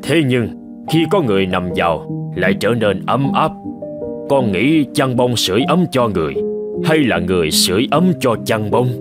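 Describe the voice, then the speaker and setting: A man voicing an elderly, wise Buddhist monk in a cartoon folk tale, patient and measured as he teaches. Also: mid-range voice, lively intonation, over music, lightly acted. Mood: calm, instructive. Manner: measured, gently instructive. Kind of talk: animated folk tale dialogue.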